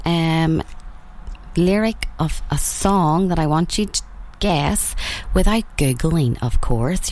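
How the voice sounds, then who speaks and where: Northern Irish woman, morning radio presenter, husky, slightly low voice, chatty with plenty of ums, studio mic. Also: a little mellow. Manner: chatty, friendly, with frequent ums. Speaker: radio host.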